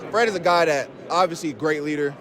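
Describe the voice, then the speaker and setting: A man's voice: fairly high pitch, quick pace, a crowd in the background. A man, a football player, giving a casual interview answer. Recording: crowded interview setting.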